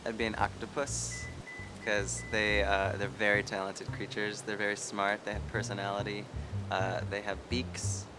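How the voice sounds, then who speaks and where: A man's voice: fairly low pitch, completely flat delivery, moderate pace, music in the background. A man from Delaware answering interview questions hesitantly with many fillers.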